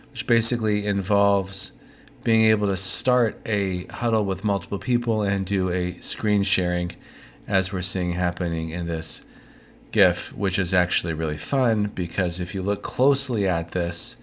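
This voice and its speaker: Deep-voiced man recovering from a cold. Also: a little hiss or hum. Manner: chatty, loose, with fillers and asides. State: getting over a cold. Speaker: tech video host. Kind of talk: casual monthly news overview.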